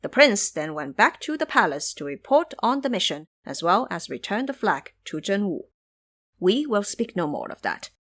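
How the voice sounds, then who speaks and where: A woman's voice: medium pitch, very animated, normal speed, a bit bright. A woman storyteller giving dramatic scripted narration of a fantasy tale.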